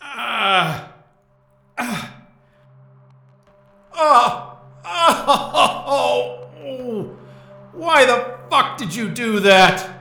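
A man acting in an audio drama as a panicked, exasperated, foul-mouthed man who speaks haltingly. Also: very high-pitched, big pitch swings, creaky, sharp tone, reverberant, full character voice. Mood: pained, angry. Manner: swearing, halting, agitated.

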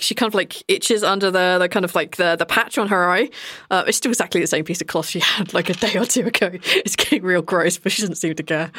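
A woman's voice: medium pitch, a bit bright, dry recording, clean studio sound. A woman acting as game master, narrating a tabletop game in an informal, conversational way.

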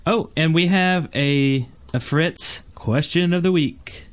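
A man's voice: on the high side, slow. A man co-hosting a gaming podcast, talking casually with the others. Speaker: podcast co-host.

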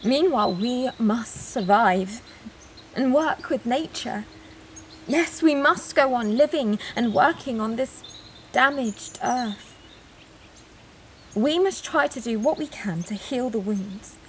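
A woman's voice: on the high side, lively intonation, slow pace, slight background noise. A woman acting in an audio drama as an earnest, self-sacrificing woman, solemn and declamatory. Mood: solemn, resolute. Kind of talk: acted audio drama monologue.

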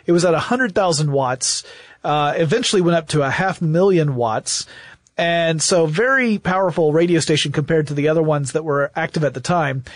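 A man's voice: on the high side, moderate pace, dry recording. A man co-hosting a show, explaining history conversationally like a storyteller, with emphatic repetition. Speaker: podcast co-host.

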